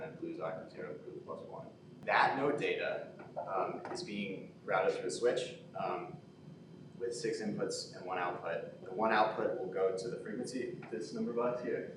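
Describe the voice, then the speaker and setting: Low male presenter, halting informal tech walkthrough, very echoey room with clear background noise. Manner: halting, thinking aloud. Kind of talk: informal technical demonstration. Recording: echoey room, distant mic, steady noise.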